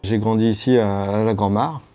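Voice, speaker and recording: Man, sound artist, fairly low voice, monotone, halting and thoughtful. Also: soft background music. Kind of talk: documentary interview.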